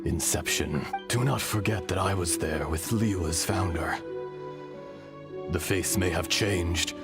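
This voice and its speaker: An age-old dragon spirit from a video game, very deep and slow. The delivery is solemn and fatalistic, in archaic phrasing, over background music. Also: male voice. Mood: solemn, resigned. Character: ancient male elemental dragon spirit, grave and fatalistic. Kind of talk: scripted video game dialogue. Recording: game audio with music behind.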